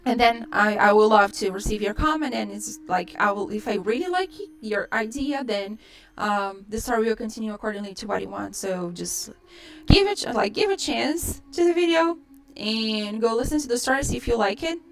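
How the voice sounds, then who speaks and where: A woman's voice: fairly high pitch, expressive, normal speed, creaky. A woman with a Brazilian accent, a native Portuguese speaker, chatting casually and in a rambling way to livestream viewers as a storyteller host. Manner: rambling, peppered with "like".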